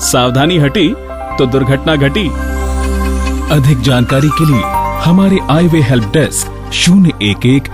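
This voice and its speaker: A man hosting a radio programme, reading scripted narration and instructing listeners in a deliberate way. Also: mid-range voice, lively intonation. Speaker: radio show host. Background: music bed.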